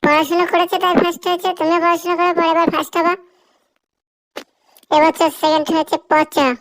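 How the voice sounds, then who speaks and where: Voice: extremely high pitch, flat delivery, quick pace, a bit bright, low-quality recording. A performer voicing a strict, bossy cartoon schoolteacher who scolds and instructs pupils in a comedy dub. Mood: instructing.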